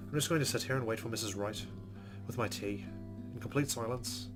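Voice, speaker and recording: A man's voice: mid-range voice, a little fast, music in the background. A man reading a visual novel's narration aloud as a let's-play host, with casual commentary.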